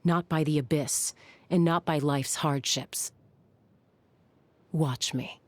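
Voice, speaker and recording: A woman playing a tough, composed young warrior and peacemaker in a video game, earnest and dryly playful, with a low, husky voice. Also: fairly even intonation, takes it slowly, a little mellow, no echo. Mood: calm, resolute. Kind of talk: scripted video game character voice lines.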